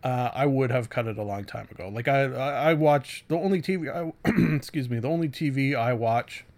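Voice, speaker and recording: A man talks through casual reviews as a solo podcast host, in a medium-pitched voice. His delivery is halting and conversational, full of asides, self-interruptions and corrections. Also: darkish tone. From Canada.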